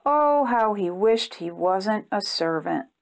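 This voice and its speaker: A woman reading a storybook aloud to young children in an expressive storytime style. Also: mid-range voice, takes it slowly, creaky. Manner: expressive, simple phrasing aimed at young children. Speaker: children's storytime reader and host.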